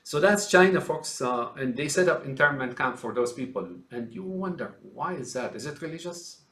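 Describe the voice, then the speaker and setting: A man's voice: mid-range voice, animated, moderate pace, a bit bright, roomy sound. Rambling man from Syria, emphatic. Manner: rambling, emphatic, addresses listeners as folks. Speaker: commentator. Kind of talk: ranting political monologue.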